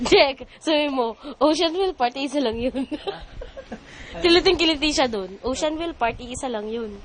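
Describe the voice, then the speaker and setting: Playful female, casual banter, high pitch, unhurried delivery, giggly and teasing. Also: lively intonation.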